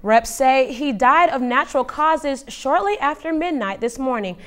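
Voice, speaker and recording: A woman presents news stories in a steady, measured way. Her voice is on the high side. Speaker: news anchor. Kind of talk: scripted news reading.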